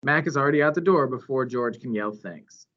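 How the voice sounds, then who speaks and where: Lively male storyteller, slightly muffled recording. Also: medium pitch, fairly quick. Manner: animated, dramatic phrasing. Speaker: storyteller reading a children's novel. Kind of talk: expressive read-aloud narration. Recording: dull, lo-fi recording.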